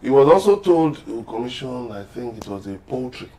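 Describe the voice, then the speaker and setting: A man's voice: medium pitch, big pitch swings, normal speed, warm tone, slight background noise. A man with a Nigerian accent speaking as a panel guest in a political discussion, emphatic and urging in manner. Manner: emphatic, exhortative.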